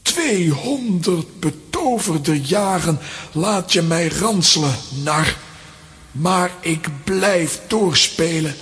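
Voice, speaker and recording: Slow, high-voiced, proud king. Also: expressive, fairly bright tone, heavily acted. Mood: proud defiance. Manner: pompous, declamatory. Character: proud, defiant living playing-card king, theatrically noble. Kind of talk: children's audio drama acting.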